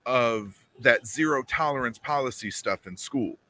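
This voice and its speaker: A man in his late thirties lays out his point in a measured way on a casual podcast. His voice is bright and forward, at a middle pitch. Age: 30s. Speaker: podcast co-host. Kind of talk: casual chat.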